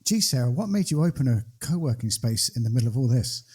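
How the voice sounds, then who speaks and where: Man, podcast host, casual teasing chat, warm mellow tone. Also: medium pitch, expressive, quick pace, breathy edge. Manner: joking, teasing, playful.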